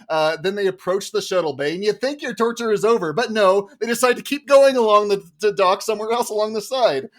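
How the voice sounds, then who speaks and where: A man arguing a humorous film critique as a mock prosecutor, in a mock-formal, exasperated way. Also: high voice. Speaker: podcast panelist playing a mock prosecutor.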